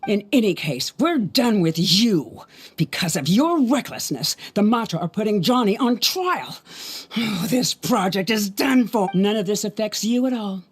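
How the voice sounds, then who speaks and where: An actress playing a bitter young student in an anime video game, scolding and accusing in an indignant voice. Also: female voice, medium pitch, animated, unhurried, breathy edge.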